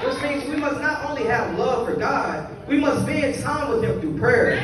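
A high-voiced teenage boy preaches earnestly from the pulpit, reading scripture and driving his points home in short phrases. He sounds far off and washed in the heavy echo of a huge sanctuary, captured on a phone from the pews with the congregation's murmur underneath. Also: lively intonation, moderate pace, a loud crowd. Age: teens. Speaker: youth speaker. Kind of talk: youth sermon at a convention. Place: large church sanctuary. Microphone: pulpit mic filmed by a phone from the pews. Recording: roomy far-off phone recording in a large hall. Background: congregation ambience.

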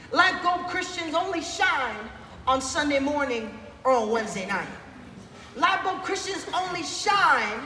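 A man's voice: very high voice, pushed and bright, slight background noise. A young adult man preaching a sermon, calling for responses and repeating key phrases, heard from the audience. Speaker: youth preacher. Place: large convention hall stage, heard from the audience. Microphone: handheld mic through PA, phone in crowd. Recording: echoey hall, distant phone capture.

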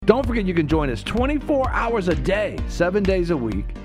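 A man's voice: high-pitched, big pitch swings, moderate pace, over music. A middle-aged American man hosting a news show and giving commentary in a studio.

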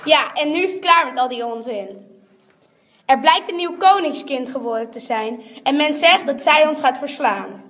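Girlish-voiced woman as a high-pitched bossy gang leader. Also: lively intonation, moderate pace, a bit bright. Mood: stern. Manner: commanding. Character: stern, bossy female robber gang leader. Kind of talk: amateur Dutch radio play acting.

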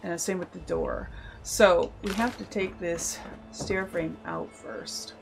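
A slightly hoarse woman at a mid-range pitch talks a viewer through a build, her voice catching a gravelly fry at phrase ends. She explains step by step in a friendly, casual way, now and then clearing her throat. A faint hiss sits behind the voice-over. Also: steady tone, takes it slowly. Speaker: game channel host. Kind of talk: casual tutorial narration over gameplay.

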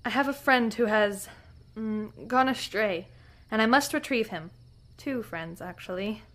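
A woman's voice: medium pitch, unhurried, a bit bright, natural voice. A woman playing a headstrong young lady from a rich family, speaking formally and insistently in a western audio drama. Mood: urgent, insistent. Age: young adult.

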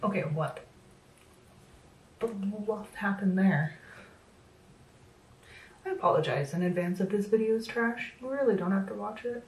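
A woman's voice: mid-range voice, measured pace, long pauses, darkish tone, a little hiss or hum. A woman in her thirties chatting casually through a makeup tutorial at home, self-deprecating and full of fillers, her voice a little worn. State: slightly losing her voice. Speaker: makeup vlogger. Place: small home room, indoors. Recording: roomy echo, a bit distant from the mic.

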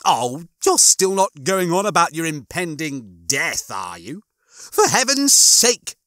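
Man doing a smug, high-pitched gloating hologram. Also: big pitch swings, a bit bright, no room sound, studio quality, heavily acted. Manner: theatrical, sneering, mock-cheerful, drawn-out words. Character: smug, gloating, pompous male hologram bunkmate.

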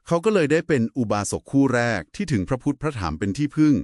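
A man's voice: mid-range voice, sing-song, fast talker, darkish tone, no echo, clean studio sound. A man giving a polite, didactic explanation in a conversational discussion of Buddhist scripture. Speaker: explainer in a two-person discussion.

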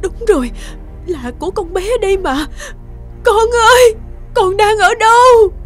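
A woman's voice: very high voice, unhurried, faint music behind. A woman voicing a worried old village mother in a cartoon fairy tale, anxious and wailing. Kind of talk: animated fairy-tale acting.

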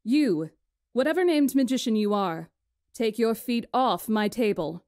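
A poised witch of a fantasy visual novel, played by a woman. She speaks in a mellow, relaxed tone, formally and deliberately, with quiet command over her listeners. The sound is dry and close. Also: on the high side, no echo. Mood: irritated. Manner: formal, deliberate, imperious storytelling. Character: poised, commanding witch storyteller lecturing a council.

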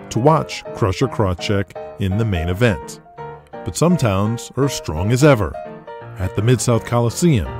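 Low-voiced male drama narrator over guitar. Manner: measured, storytelling delivery. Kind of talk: scripted narration of an audio drama.

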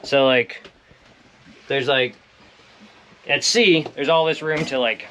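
A man with a mid-pitched voice hosts a casual interview aboard a sailboat. He is chatty and enthusiastic, approving as he goes, and speaks from behind the camera. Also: steady tone, takes it slowly, pushed and bright, slight background noise. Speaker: host. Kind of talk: casual interview chat on a boat tour. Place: sailboat, on open deck and in the wooden cabin. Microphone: off camera, behind a handheld camera.

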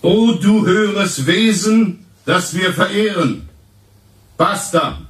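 An actor playing a pompous, grandiloquent professor, declaiming solemnly in a radio play, heard as a roomy, distant tape playback. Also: male voice, high-pitched, takes it slowly. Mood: declamatory.

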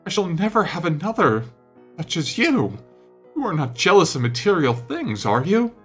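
A man voicing an earnest, indignant gentleman painter in an audiobook, speaking emphatically with emotion and reproach. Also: mid-range voice, big pitch swings, normal speed, husky, faint music behind, pitched above the performer's own voice. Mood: pleading.

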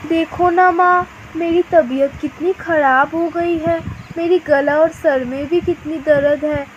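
Woman as a high-voiced, sickly cartoon daughter. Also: fairly even intonation, unhurried, muffled recording, slight background noise. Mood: plaintive. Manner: plaintive. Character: sick, complaining young daughter in a cartoon. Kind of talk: animated moral story dialogue.

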